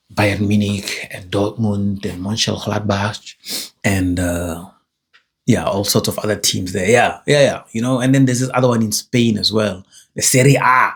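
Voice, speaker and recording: A man's voice: lowish voice, breathy edge. A young man with a South African accent, talking casually to camera in a rambling, self-correcting way. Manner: digressive, self-correcting, chatty. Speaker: vlogger.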